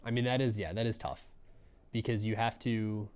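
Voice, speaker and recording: A young man giving relaxed, musing live commentary on a programming contest, often trailing off mid-thought. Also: lowish voice, moderate pace, clean tone.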